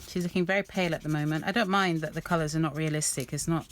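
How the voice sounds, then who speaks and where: A woman with a low voice talks softly while she crafts, drifting through thoughts with ums and restarts. Her voice sounds a little croaky. A faint hiss sits under the recording, with quiet pen scratches. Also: fairly even intonation, racing. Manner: rambling, soft-spoken, many ums and restarts. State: slightly croaky voice. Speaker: art journaling vlogger. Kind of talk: casual craft-along chat.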